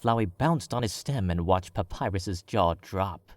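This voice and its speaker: A man narrating a fan fiction as an audiobook, in a measured, wry storytelling manner. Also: low-pitched, animated, no room sound.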